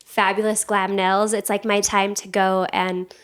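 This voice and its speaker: A woman with a medium-pitched voice hosts a podcast. She speaks in a casual, conversational interview style. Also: little pitch movement, unhurried, smooth. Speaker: podcast host.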